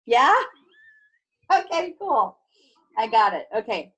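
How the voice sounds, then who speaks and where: A woman teacher reading a children's book aloud over a video call, expressive and instructive, with playful emphasis and casual asides to pupils. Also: a little high, slow, slightly rough, lo-fi sound. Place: remote video class.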